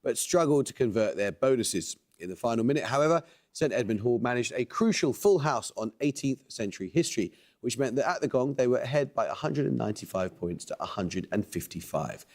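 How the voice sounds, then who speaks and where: A man hosting a quiz show, reading questions and introductions with a measured, precise delivery. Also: mid-range voice, lively intonation, darkish tone.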